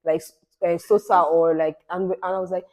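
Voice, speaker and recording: A young woman from Nigeria chats casually as a podcast co-host, her voice sitting a little low. She talks emphatically, rambling with restarts and repeating herself. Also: flat delivery, a little fast, a little mellow. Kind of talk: casual chat.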